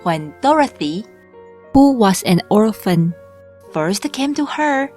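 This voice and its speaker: A woman reads a children's storybook aloud as an audiobook narrator. Her delivery is slow, measured and deliberate, at a medium pitch. Music plays clearly under her voice. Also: sing-song, a little mellow. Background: background music.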